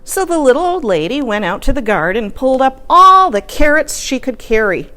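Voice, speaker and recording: Female storyteller for a children's picture book: expressive, deliberate, widely varied pitch. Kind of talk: children's story read-aloud.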